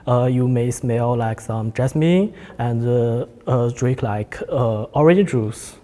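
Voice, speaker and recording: A man in his early twenties speaking haltingly as a student guest in a panel discussion. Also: medium pitch, mellow, in a large hall. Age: early 20s. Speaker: student guest, coffee club leader. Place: large indoor cafe-like room. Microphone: lapel.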